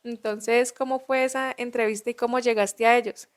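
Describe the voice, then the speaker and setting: A woman co-hosting a podcast, speaking in a measured, gently encouraging way. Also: fairly high pitch, steady tone. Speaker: podcast co-host. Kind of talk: casual podcast conversation.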